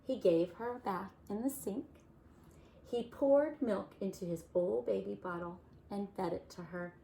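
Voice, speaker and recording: A woman reading a children's picture book aloud in a soft-spoken, unhurried way. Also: mid-range voice, creaky, slight background noise. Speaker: children's storyteller.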